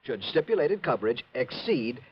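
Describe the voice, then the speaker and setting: A man's voice: medium pitch, big pitch swings, normal speed, a little hiss or hum, close to an everyday voice. An actor playing a fussy worrywart American insurance man with a hesitant, pedantic manner in a 1950s radio drama. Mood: fussy, precise. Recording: dull vintage radio recording.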